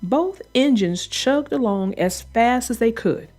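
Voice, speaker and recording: Children's read-aloud narrator, woman, very lively intonation, unhurried, light music underneath. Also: a little high. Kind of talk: expressive read-aloud storytelling.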